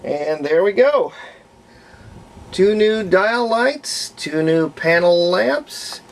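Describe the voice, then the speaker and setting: A man's voice: sits high, expressive, very slow. A man talking casually and unscripted in a folksy way as he demonstrates a hands-on repair at an indoor workbench. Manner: folksy, unscripted, narrating while working. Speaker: hobbyist radio restorer presenting a repair.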